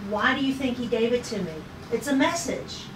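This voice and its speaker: A woman's voice: on the high side, brisk, close to an everyday voice. A woman reading the part of an earnest, feisty young sci-fi navigator at a table read. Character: earnest, feisty twenty-four-year-old female navigator in sci-fi. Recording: noisy echoey room, distant mic.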